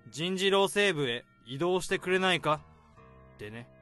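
An actor playing an overworked male office worker laying out a case, in a radio drama, speaking calmly and methodically. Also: high-pitched, unhurried, soft background music, heavily acted. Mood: explaining. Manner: calm, methodical explaining.

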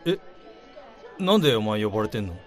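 A man's voice: fairly low pitch, big pitch swings, a little fast, faint music behind, some chatter behind. A man acting in a radio drama as a nosy, easily miffed old friend, talking in casual, colloquial banter. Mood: incredulous, miffed.

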